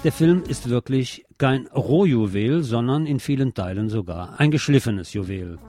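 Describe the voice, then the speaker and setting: A man's voice: mid-range voice, lively intonation, moderate pace, music in the background. A man hosting a radio show, reading a scripted review in a formal manner and addressing his listeners directly.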